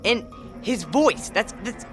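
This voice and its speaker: Urgent, stammering young alien in disguise. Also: male voice, sits high, lively intonation, takes it slowly, over music. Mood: grave, revealing. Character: desperate young alien man posing as human. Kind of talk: radio drama acting.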